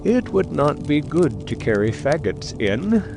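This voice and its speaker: Helpful, folksy fairy-tale woodman, played by a man; gravelly, drawn-out, lilting lines. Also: fairly high pitch, very animated, over music, heavily acted. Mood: helpful, unhurried.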